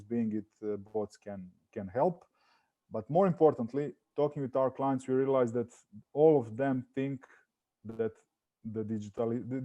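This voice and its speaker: A man's voice: medium pitch, mellow. A man from Croatia with a Croatian accent, speaking off the cuff as a panelist in a business discussion. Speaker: business panelist, partner of a messaging app.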